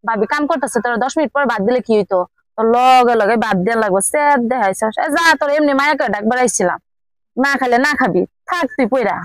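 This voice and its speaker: A woman's voice: on the high side, animated, quick pace, no echo, natural voice, low-quality recording. An actress playing a blunt, nagging village woman in a drama, with a Bangladeshi regional accent. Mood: scolding, huffy. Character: bossy, sharp-tongued village woman, scolding and impatient.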